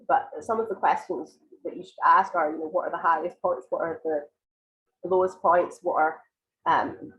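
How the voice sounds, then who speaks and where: Lady teacher with a cold, croaky and stuffy, really low voice, kinda dull lo-fi audio. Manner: explains patiently with many ums and restarts. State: has a cold, croaky and congested. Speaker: IELTS writing teacher. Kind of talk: live online lesson, answering viewer questions.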